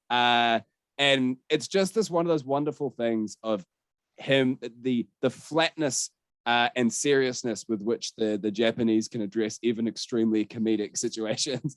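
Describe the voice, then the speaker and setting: A man's voice: medium pitch, no echo. A man hosting a casual podcast chat in an enthusiastic, wordy way. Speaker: podcast host. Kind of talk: casual podcast chat about games and film.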